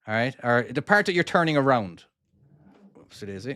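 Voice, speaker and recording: A man's voice: medium pitch, very animated, moderate pace, a bit bright, no room sound, clean studio sound. A man from Cork with an Irish accent, casually talking through his own trucking game on a live stream and answering viewers' questions. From Ireland (Cork). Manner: casual, talks himself through each maneuver and answers chat questions. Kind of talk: live gameplay commentary.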